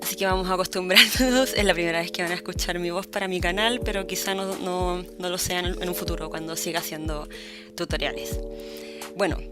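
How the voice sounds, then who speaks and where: Chilean woman, young, chatty tutorial host, a bit low-pitched, music underneath. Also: fairly even intonation, fairly quick. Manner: chatty, upbeat, often restarts words. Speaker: channel host, digital art tutor. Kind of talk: casual tutorial narration.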